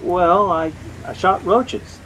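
A sulky american husband in an old radio comedy, sarcastic, kinda bumbling, bit of noise. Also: male voice, sits high, takes it slowly, a bit bright. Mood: sheepish, evasive. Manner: sulky sarcasm, sheepish stammering. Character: grumbling, jealous young husband, bumbling and boastful. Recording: noisy old-time radio recording.

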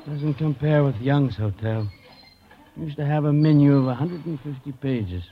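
Mild, chatty gentleman played by a man, muffled sound. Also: fairly high pitch, normal speed, natural voice. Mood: genial, wistful. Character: genial, well-to-do gentleman castaway, wistfully chatty. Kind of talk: old-time radio drama acting. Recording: muffled, noisy old radio recording.

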